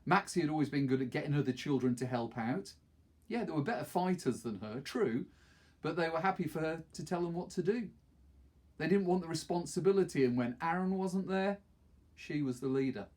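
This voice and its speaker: A man in his fifties narrates a story aloud. His voice is husky and a little rough, with an expressive storytelling delivery. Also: on the high side, a little fast. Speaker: teacher reading aloud. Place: small wood-panelled study, indoors.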